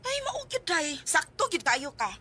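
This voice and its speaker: Gossipy sympathetic friend, radio drama, high voice that swoops around. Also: female voice, very animated, moderate pace. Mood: sympathetic, chatty. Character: chatty, sympathetic woman friend.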